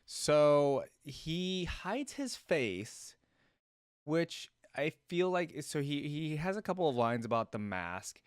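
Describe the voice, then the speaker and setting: A man's voice: fairly high pitch, sing-song, slow, clear, resonant tone, no room sound, studio quality. A man hosting a reaction video, musing aloud in casual, rambling, hesitant commentary.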